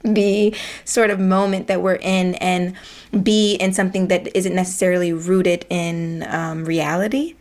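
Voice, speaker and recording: A woman speaking as an actress guest in a casual discussion, expressive and emphatic. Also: fairly low pitch, unhurried. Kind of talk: casual post-show discussion.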